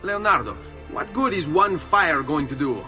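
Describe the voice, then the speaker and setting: An actor voicing a resolute young male assassin in a video game, terse and steady. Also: a little high, moderate pace, music in the background. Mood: determined. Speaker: game character.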